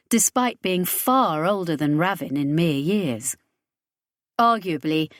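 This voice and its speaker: Woman reading an audiobook novel, voice a bit low, really dry recording no room. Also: dry recording. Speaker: audiobook narrator. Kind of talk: scripted fiction narration.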